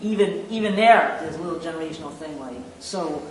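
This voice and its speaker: A man's voice: a little high, a little fast, reverberant, recorded from a distance, poor audio. A man talking casually and reflectively in an interview as a playwright.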